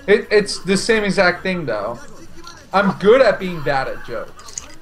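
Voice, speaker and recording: A man's voice: sits high, very animated, a little slow, a bit bright, faint music behind. A young man casting esports, cracking silly jokes and laughing through casual banter on a headset. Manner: playful, jokey, laughs often. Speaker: esports caster. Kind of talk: casual chat between casters.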